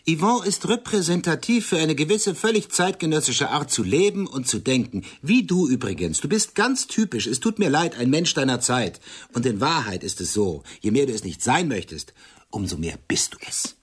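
An actor playing a sharp-tongued, condescending intellectual man in a German radio play, articulate and insistent. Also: on the high side, big pitch swings, normal speed, slightly rough. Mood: accusing. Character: Serge, male friend, sharp-tongued, lecturing, condescending intellectual. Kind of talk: radio play acting, dialogue.

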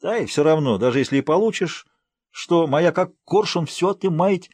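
A man's voice: a little high, animated, moderate pace, darkish tone, slightly rough, no room sound, pitched above the performer's own voice. A man voicing a talkative, blunt old village man in an audiobook, with rustic colloquial speech. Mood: grumbling. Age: older. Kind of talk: audiobook character voice.

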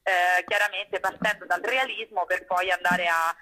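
A woman's voice: lowish voice, fairly even intonation, normal speed, pushed and bright. A young woman in a radio interview, explaining things in an articulate, conversational way and often checking in with short tag questions. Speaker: guest, actress and playwright.

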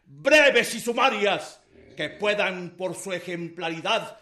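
An actor playing an indignant congressional deputy, giving a formal, emphatic political speech in a radio drama. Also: male voice, high-pitched, animated, takes it slowly, pushed and bright, exaggerated voice. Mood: indignant, solemn. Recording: echoey chamber sound.

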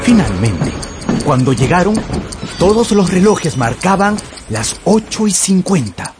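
Male narrator for radio drama: theatrical, lively intonation, scripted storytelling over a clear music bed. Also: sits high, measured pace. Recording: music under the voice.

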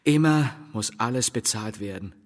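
An actor playing a bitter, tormented husband in a literary radio drama, speaking in a solemn, declamatory and halting way. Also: male voice, lowish voice, animated, lightly acted. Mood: brooding. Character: bitter, brooding, fatalistic husband, resigned yet tormented. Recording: long hall reverb.

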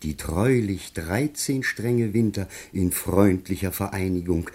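A man's voice: low-pitched, measured pace, darkish tone, breathy edge. An actor playing a humble, grateful, good-hearted poor man in a German radio play, speaking with earnest theatrical feeling. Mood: grateful, wistful.

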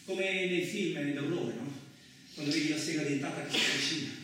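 A man acting in a radio play as a gruff, crude truck driver who tells his story in blustering, rambling, colloquial speech. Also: a little high, fairly quick, lightly acted. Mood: rueful, reflective. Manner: blustering, rambling, conversational, mildly swearing. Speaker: actor in a solo radio play. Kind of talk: colloquial theatrical radio monologue. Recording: roomy, echoing distant miking with faint noise.

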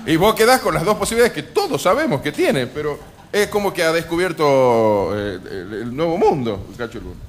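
A man's voice: fairly high pitch, sing-song, fairly quick, a little hiss or hum. An Argentine man hosting a radio show, bantering about politics in a joking, mock-serious way. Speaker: radio host. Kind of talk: comic political banter with co-hosts. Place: live radio broadcast from a bar.

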